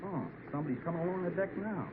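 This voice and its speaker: A man's voice: sits high, rapid-fire, lightly acted. A man playing a folksy ship's mate. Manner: folksy, drawling, offhand. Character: plainspoken, superstitious male ship's mate. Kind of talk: 1950s radio drama acting. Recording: tinny old radio transcription with background noise.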